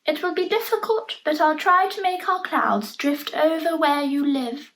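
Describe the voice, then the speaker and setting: A woman voicing a kindly, regal queen of the clouds from a children's storybook, declaiming deliberately. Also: high-pitched, fairly bright tone, pitched above the performer's own voice. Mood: kindly, resolved.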